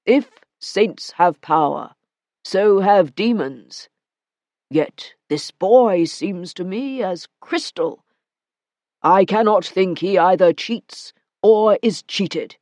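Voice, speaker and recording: A woman voicing an old male abbot who speaks in a measured, deliberate way. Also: medium pitch, animated, darkish tone, dry recording, studio quality. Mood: thoughtful.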